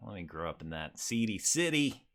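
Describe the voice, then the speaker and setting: A man's voice: on the low side, sing-song, moderate pace, fairly bright tone. A man hosting a podcast in a casual, laid-back and jokey way. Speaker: podcast host. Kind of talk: casual chat.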